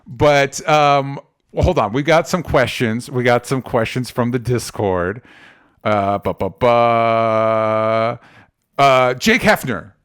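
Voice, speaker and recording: A man's voice: mid-range voice, takes it slowly, fairly bright tone, clear, resonant tone. A man co-hosting a movie podcast, giving opinions in a casual, argumentative and exasperated way with some swearing. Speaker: podcast co-host reviewing movies.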